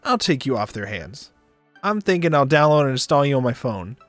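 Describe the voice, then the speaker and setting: A man voicing a teasing, warm-hearted male space traveler in a visual novel read-aloud. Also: medium pitch, sing-song, brisk, no echo, soft background music, lightly acted.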